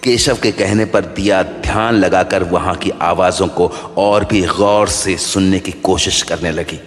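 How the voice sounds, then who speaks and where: A man's voice: deep voice, steady tone, measured pace. A man giving dramatic scripted narration of a horror story. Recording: long reverb under prominent music.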